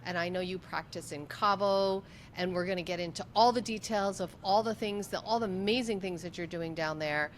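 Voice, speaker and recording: A woman's voice: lowish voice, brisk, few pauses, a little hiss or hum. A woman hosting an interview in a conversational way, effusive and given to emphatic repetition. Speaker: interview host.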